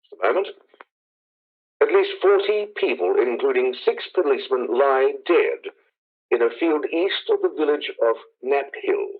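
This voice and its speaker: A man's voice: mid-range voice, animated, normal speed, natural voice. An actor playing a formal male studio news announcer, urgent but composed, reading bulletins in a 1930s-style radio drama. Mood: grave, urgent. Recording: thin, phone-like vintage radio sound.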